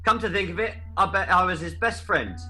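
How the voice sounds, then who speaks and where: A man's voice: sits high, a little fast, pushed and bright, soft background music. A man playing a callous, flippant businessman gossiping in a radio play. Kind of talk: radio drama acting.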